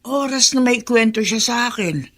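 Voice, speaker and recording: A woman's voice: a little high, moderate pace, very noisy, close to an everyday voice. An actress playing a sympathetic, chatty older Filipino woman in a radio drama. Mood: confiding. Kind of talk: Tagalog radio drama acting.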